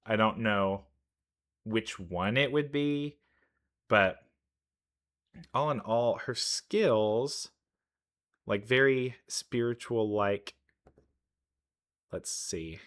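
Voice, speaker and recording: Bright-voiced man musing through a reaction. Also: fairly low pitch, drawn-out words, long pauses. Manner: musing, thinking aloud. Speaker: reaction video host. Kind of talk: casual game reaction commentary.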